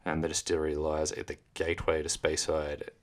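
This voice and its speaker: Very deep-voiced Australian man, laid-back. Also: monotone, brisk. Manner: laid-back, swears freely, reads facts in a low mumble. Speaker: podcast co-host. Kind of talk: casual chat over whisky tasting. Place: small home studio with barrel backdrop. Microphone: boom studio mic with headphones.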